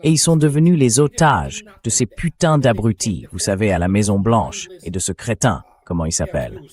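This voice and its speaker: A man's voice: fairly low pitch, animated, normal speed, a little mellow. A man reading a translated interview as a guest commentator, speaking bluntly and emphatically about military affairs.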